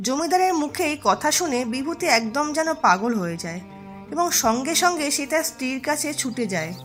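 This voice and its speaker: High-pitched woman narrating a folk tale. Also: very animated, brisk, fairly bright tone, faint music behind. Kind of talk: scripted storybook narration.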